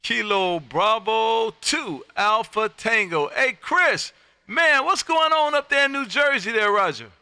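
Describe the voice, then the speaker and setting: American male ham radio host, folksy and effusive, very bright forceful tone with some gravel. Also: high voice, lively intonation, measured pace. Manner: folksy, effusive, peppers talk with friendly address. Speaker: amateur radio operator and livestream host. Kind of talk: casual ham radio chat.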